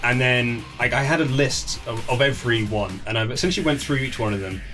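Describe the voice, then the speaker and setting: Fast-talking man telling anecdotes. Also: medium pitch, a bit bright, soft background music. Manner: chatty storyteller who acts out quoted conversations. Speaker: author being interviewed about his book. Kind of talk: casual chat, animated anecdotes.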